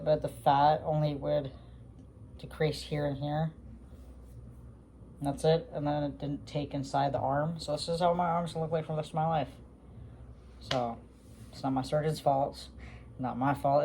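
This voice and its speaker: A man's voice: on the high side, vocal fry, dark tone, a little hiss or hum. A young man talking casually to his viewers, rambling with many fillers and restarts. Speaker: vlogger. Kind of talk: casual talk to camera.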